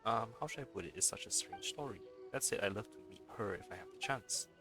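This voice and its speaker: A man's voice: fairly low pitch, lively intonation, fast talker, over music. A man livestreaming a video game, commenting and reading the dialogue aloud as he plays.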